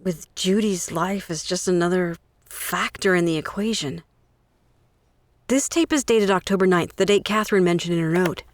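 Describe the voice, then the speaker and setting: A woman playing a determined, compassionate amateur investigator in a naturalistic audio drama, earnest and low-key. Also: normal speed, natural voice. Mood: troubled.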